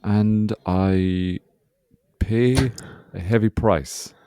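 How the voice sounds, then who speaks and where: A man's voice: fairly low pitch, very slow, mellow, slight background noise. An Irish man in his forties, co-hosting a podcast with rambling, sweary, joking chat, sounding hungover. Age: 40s. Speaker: podcast co-host. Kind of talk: casual banter.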